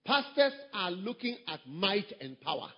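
Husky man preaching in an echoing hall. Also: sits high, big pitch swings, a little slow. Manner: emphatic, repetitive preaching with surges in volume. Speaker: pastor. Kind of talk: sermon. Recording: long hall reverb, dull sound.

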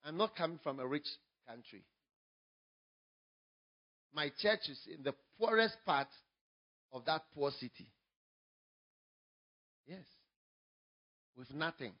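A man with a Ghanaian English accent, a pastor preaching a sermon, moving from quiet storytelling to loud, emphatic preaching. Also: a little high, expressive, takes it slowly, pauses often, highs cut off.

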